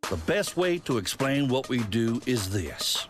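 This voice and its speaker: Grumpy, animated pilot boss. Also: male voice, medium pitch, measured pace. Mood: exasperated pride. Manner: grumpy, wry. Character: grumbling but proud male pilot crew boss. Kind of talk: video game cutscene dialogue. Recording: music behind the voice.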